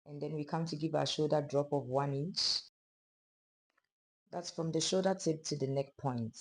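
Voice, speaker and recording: A sewing instructor with a hoarse, fading voice, calmly narrating a pattern-drafting tutorial step by step. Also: medium pitch, normal speed. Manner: calm, step-by-step explaining of measurements. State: hoarse, losing voice.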